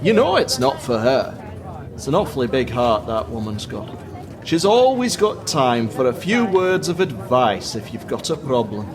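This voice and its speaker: A man's voice: medium pitch, big pitch swings, moderate pace, over music, lightly acted. A man playing a cheery, joking Scottish fishmonger bantering in a radio play. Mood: admiring. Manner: jokey, salesman-like banter. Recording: busy street bustle behind voice.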